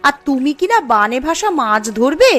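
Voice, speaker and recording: A woman's voice: sits high, sing-song, normal speed, a bit bright, soft background music. A woman voicing a caring, fretful younger village woman in a cartoon story, with an entreating manner. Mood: anxious.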